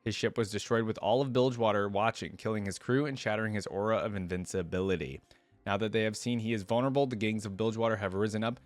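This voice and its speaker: A man with a fairly low voice, recorded close and dry with little room sound. He talks as a reaction video host, conversational and casual whether commenting or reading from a text. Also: no echo.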